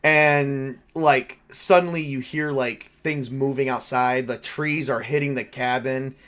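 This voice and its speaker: A man narrating a tabletop roleplaying game casually, with animated, informal storytelling as game master and player. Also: mid-range voice, measured pace.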